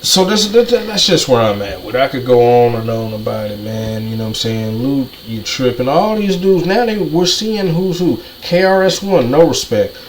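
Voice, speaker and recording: A man's voice: medium pitch, big pitch swings, normal speed, hardly any pauses, rough recording. Ranting man with faint noise behind. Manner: ranting, repetitive, profane. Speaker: commentator. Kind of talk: heated monologue.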